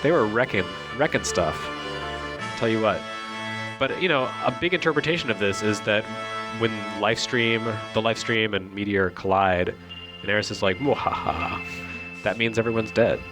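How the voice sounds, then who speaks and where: A man's voice: fairly low pitch, normal speed. A man giving casual, joking commentary on video game cutscenes in a wry manner, as a critic and co-host. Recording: loud music behind the voice. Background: video game music.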